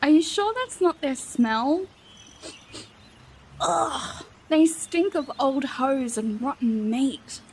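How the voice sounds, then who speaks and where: A woman's voice: high-pitched, takes it slowly, a little hiss or hum, low-quality recording. A woman playing a sarcastic, grumbling, put-upon rogue in a fantasy comedy audio drama. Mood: sardonic. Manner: sardonic, exasperated complaining.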